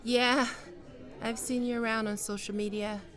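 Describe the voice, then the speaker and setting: A woman playing a flustered, wistful but proud writer facing a former lover in an intimate audio roleplay, halting and sighing as she speaks. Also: a little high, little pitch movement, a bit bright, some chatter behind, close to an everyday voice. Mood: wistful, uneasy. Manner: hesitant, halting, with sighs and trailing off. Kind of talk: intimate ASMR roleplay acting.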